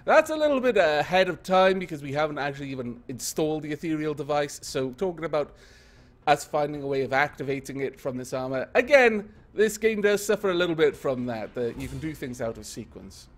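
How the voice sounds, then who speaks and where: A man's voice: on the high side, animated, fairly quick. A man casually commentating on a strategy game he is playing, thinking aloud with many fillers, a little congested from a cold. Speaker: gaming video host.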